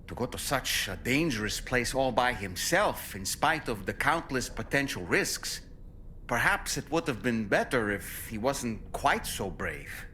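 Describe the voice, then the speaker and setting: A man acting as a gentle, worried, compassionate character in a video game, speaking reflectively. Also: medium pitch, expressive, a little slow, a little hiss or hum. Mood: troubled.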